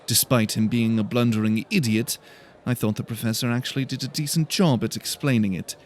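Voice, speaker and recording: A man's voice: mid-range voice, fairly even intonation, brisk, darkish tone, a little hiss or hum, natural voice. A man voicing a haughty young male student, curt and condescending, in an audiobook. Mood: scornful. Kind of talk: audiobook character dialogue.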